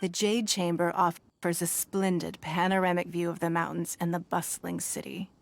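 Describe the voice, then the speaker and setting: A woman voicing a shrewd, wealthy female city leader who speaks in a measured, authoritative, formal way. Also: on the low side, little pitch movement, creaky. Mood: calm. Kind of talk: game cutscene voice acting.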